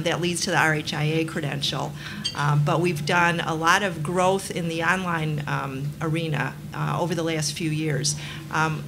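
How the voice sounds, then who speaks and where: A woman who runs university programs, speaking on a panel with many ums and pauses. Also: low-pitched. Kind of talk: panel introduction. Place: event room. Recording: noisy room recording.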